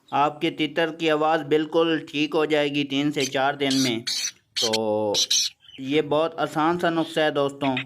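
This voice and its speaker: A man hosting his own channel, explaining a simple remedy in a plain, repetitive way and calling his viewers friends. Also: a little high. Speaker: channel host. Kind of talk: instructional vlog on bird care. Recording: noisy home recording.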